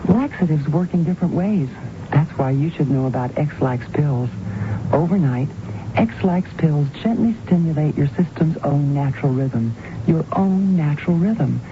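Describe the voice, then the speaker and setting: A man's voice: a little high, lively intonation, a little slow. A man reading a scripted radio advertisement as a commercial announcer. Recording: tinny, noisy old radio broadcast.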